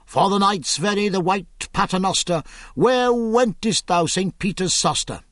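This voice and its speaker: Guy putting on a fretful old carpenter, pious and panicky, high and husky, real slow. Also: fairly bright tone, no room sound, studio quality. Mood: frantic. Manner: frantic, pleading cries. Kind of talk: reading Middle English verse aloud.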